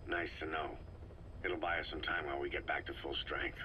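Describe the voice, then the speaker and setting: Very deep, terse legendary commander. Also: male voice, level tone, brisk. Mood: dry, skeptical. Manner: laconic, understated, dry. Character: terse, weary legendary male mercenary commander. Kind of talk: video game dramatic dialogue. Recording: muffled lo-fi tape with loud noise.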